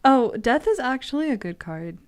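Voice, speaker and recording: A woman's voice: high-pitched, very animated, normal speed. A woman giving tarot readings on a stream in a playful, casually profane way, moving between loose chat and reading aloud.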